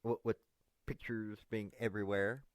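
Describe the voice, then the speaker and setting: A man's voice: lowish voice, flat delivery, normal speed, no room sound. A man in his fifties from East Tennessee with a Southern accent, talking straight to camera in a folksy, meandering, earnest way. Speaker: political commentator. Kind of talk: direct-address monologue to a politician.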